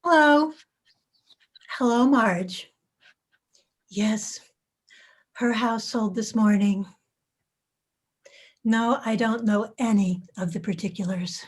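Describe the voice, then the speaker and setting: Suburban housewife in a satirical play, female actor: polite, guarded, unhurried delivery, lightly high voice. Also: slightly rough. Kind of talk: staged reading of a satirical play. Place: video call.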